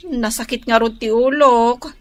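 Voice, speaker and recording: A woman's voice: on the high side, steady tone, unhurried, no echo, natural voice. A woman playing a suspicious young wife in a radio drama, speaking with an Ilocano Filipino accent. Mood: feigned casualness. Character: suspicious young wife, niece scheming with her aunt.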